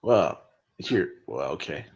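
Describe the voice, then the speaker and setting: A man's voice: extremely low pitch, sing-song, a little slow. A man in a casual chat who cuts in with short, blunt, teasing remarks. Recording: dull lo-fi audio.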